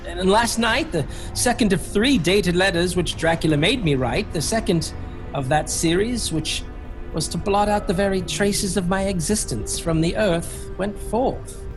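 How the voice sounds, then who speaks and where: An actor playing a nervous English gentleman held captive in a horror audio drama, halting and stammering as he speaks. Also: sits high. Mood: anxious. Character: anxious English gentleman trapped in a vampire's castle. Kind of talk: theatrical horror audio drama narration and acting. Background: music.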